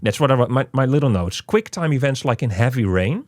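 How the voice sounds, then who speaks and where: A man co-hosting a casual game review, talking in an animated, rambling way with lots of "you know". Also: mid-range voice, a little fast, dry recording, clean studio sound.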